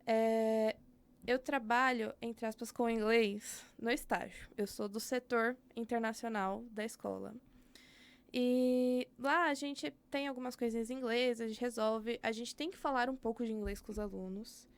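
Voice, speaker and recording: Clear-voiced young Brazilian woman. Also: on the high side, fairly even intonation, measured pace. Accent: Brazilian Portuguese. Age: young adult. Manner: candid, reflective, thinks aloud with frequent fillers. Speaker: podcast guest and educator. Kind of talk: casual interview conversation.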